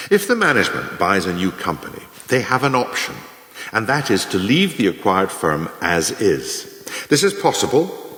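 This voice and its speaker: A man acts out a shrewd, ruthless mentor delivering a radio play monologue to would-be managers. His low male voice swings expressively through measured, didactic phrases. The sound is echoey, with a long reverberant tail. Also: bright, forceful tone, full character voice. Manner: didactic, measured, formal, emphatic. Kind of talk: scripted radio play monologue, delivered as a lecture in numbered lessons.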